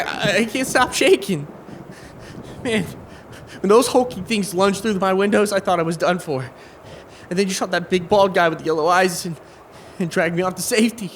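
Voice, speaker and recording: A man acting as a desperate young survivor in a zombie audio drama. His voice is very high for a man, jittery and stammering, as he rambles on over faint background noise. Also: a bit bright. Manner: stammering, frantic.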